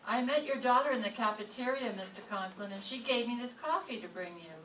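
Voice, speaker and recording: A woman's voice: a little high, brisk. Wry schoolteacher, acted by a woman, echoey stage recording. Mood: breezy, sarcastic. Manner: deadpan, sarcastic. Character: wry, sharp-tongued single woman schoolteacher. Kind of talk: staged old-time radio comedy acting. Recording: distant roomy stage recording, faint noise.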